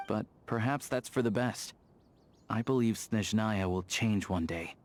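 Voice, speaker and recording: A man voicing a pragmatic, easygoing young hunter and lawman in a video game, talking in an unhurried, matter-of-fact way. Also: on the low side, close to an everyday voice. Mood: reflective. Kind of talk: video game character dialogue. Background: soft background music.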